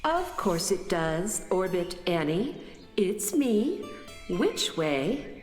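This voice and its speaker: A woman's voice: on the low side, sing-song, very slow, heavily acted. A woman voicing a serene, self-assured starship-planet with gracious, slightly boastful manner in a children's audio drama. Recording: big echoing reverb over music.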